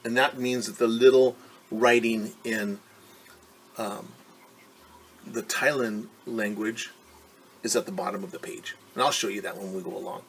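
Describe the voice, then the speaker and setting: A man's voice: fairly low pitch, steady tone, a little slow, pauses often, slight background noise, poor audio. A middle-aged man reading a picture book to children as a teacher, stopping to explain things patiently in simple words. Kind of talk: storytime read-aloud with simple explanations for children. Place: living room at home.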